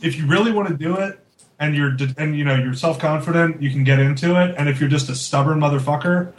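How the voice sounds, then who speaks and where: A man's voice: on the high side, quick pace. A man around thirty, a studio artist, speaking candidly and earnestly in a casual chat, with frequent fillers. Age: 30s. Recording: roomy with faint background noise.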